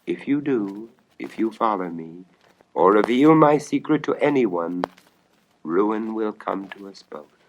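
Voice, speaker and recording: A man voicing a gentle, mysterious fairy woman in a storybook tale, solemn and warning in manner. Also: lowish voice, animated, slow, a little hiss or hum, voice pushed up, poor audio. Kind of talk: storybook audio dramatization.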